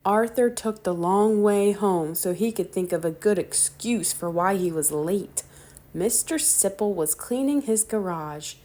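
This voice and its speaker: A woman's voice: medium pitch, measured pace, mellow. A woman narrating a children's picture book aloud. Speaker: storybook reader.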